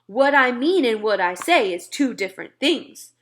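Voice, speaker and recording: A woman's voice: a little high, animated, moderate pace, fairly bright tone. A woman voicing a very old friendly giant in a children's storybook, declaiming theatrically and indignantly in garbled grammar. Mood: contemptuous. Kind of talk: children's storybook read-aloud.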